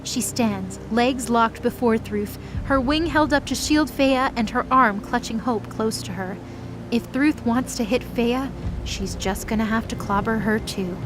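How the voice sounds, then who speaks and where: High-pitched woman narrating a story. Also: measured pace, background noise. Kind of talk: scripted present-tense story narration.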